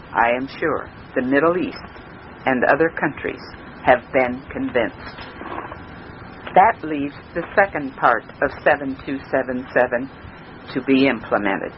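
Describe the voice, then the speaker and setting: An American man giving an earnest, prepared radio lecture in deliberate, emphatic short phrases, heard over shortwave. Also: on the high side. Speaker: speaker on a political radio broadcast. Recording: dull, noisy 1990s shortwave radio recording.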